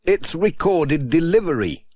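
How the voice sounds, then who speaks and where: A man's voice: fairly high pitch, big pitch swings, measured pace, dark tone, dry recording, voice pushed up. A man voicing a friendly, mildly grumbling village postman in a children's storybook. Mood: mildly bothered.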